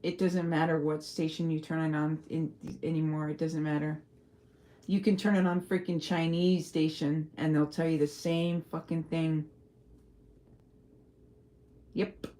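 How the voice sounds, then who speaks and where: A woman's voice: low-pitched, little pitch movement, a little slow, long pauses, warm tone. Blunt rambling Texan woman livestreaming. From El Paso, Texas. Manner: rambling, blunt, swears freely. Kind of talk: casual livestream chat. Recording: faint music behind.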